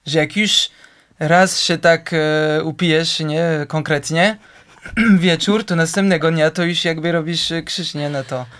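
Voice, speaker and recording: A young man with a French accent, speaking Polish with a smooth, clear voice. He talks in a hesitant, halting way, often pausing with fillers while he searches for words. His voice sits somewhat high for a man. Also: steady tone, fairly bright tone. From France. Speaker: interview guest. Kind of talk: casual conversation.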